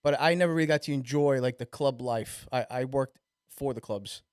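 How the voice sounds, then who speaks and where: Queens in his thirties, relaxed podcast co-host, smooth and clean sounding, mid pitch. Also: no room sound, studio quality. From Queens, New York. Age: 30s. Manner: easygoing, quick with agreeing quips. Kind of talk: casual chat.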